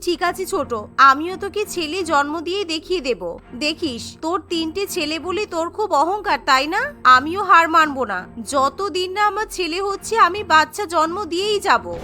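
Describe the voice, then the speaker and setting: A woman plays a desperate cartoon mother longing for a child. Her voice is very high and bright, with a dramatic, lamenting, pleading delivery over faint music. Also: lively intonation, normal speed, heavily acted. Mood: defiant. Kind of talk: melodramatic cartoon voice acting.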